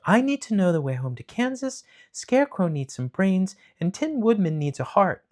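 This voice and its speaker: A man voicing a brave, practical young girl in a high, earnest voice. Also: sing-song, normal speed. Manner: bright, high, earnest storybook voice.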